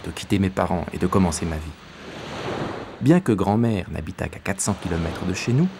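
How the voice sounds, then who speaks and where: A man from South Africa giving measured, reflective scripted narration as a documentary narrator. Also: low voice. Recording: voice-over with clear background noise.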